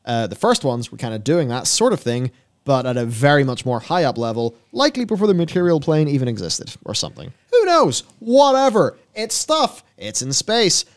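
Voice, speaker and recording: A man's voice: on the high side, big pitch swings, moderate pace. A man in his thirties giving casual commentary as a co-host, with flippant asides and loose explanations, on a close studio microphone. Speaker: co-host of a gaming discussion show. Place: small indoor podcast studio. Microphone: close broadcast microphone on boom arm.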